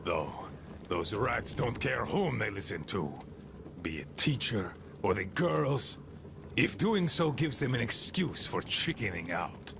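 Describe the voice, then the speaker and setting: A bitter, crippled outpost leader in a video game, ranting with mocking scorn. His gravelly, rough voice swings up and down in pitch as he drags out his words slowly. Clear ambient game noise hums behind him. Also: sing-song, heavily acted. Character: embittered wounded male outpost leader.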